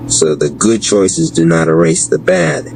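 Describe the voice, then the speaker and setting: A man reading a scripted guided meditation as a narrator, in a measured, flat reading manner. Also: a little high, gravelly, a little hiss or hum, low-quality recording.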